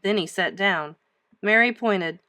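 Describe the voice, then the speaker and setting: A woman reading a children's storybook aloud like a teacher, with emphatic, expressive storytelling. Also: mid-range voice, a little slow, no room sound, clean studio sound.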